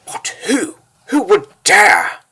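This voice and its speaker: A man's voice: mid-range voice, huge pitch swings, moderate pace, pushed and bright, breathy edge, full character voice. A man playing an imperious, suspicious king in a fantasy comic dub, formal and commanding in his orders. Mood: outraged. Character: imperious, suspicious male king ruling a war-torn realm.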